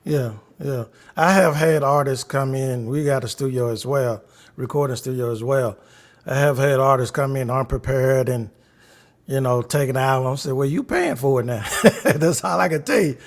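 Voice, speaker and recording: A man hosting a podcast interview, easygoing and quick to affirm his guest. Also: medium pitch. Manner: easygoing, with frequent affirmations and laughs. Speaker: podcast host.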